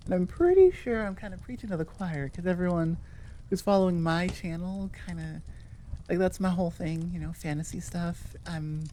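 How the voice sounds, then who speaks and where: Woman, lowish mellow voice, casual creator chat, rambling, playful, self-correcting, faint background noise. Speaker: ASMR creator, channel host. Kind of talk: casual chat outro to listeners.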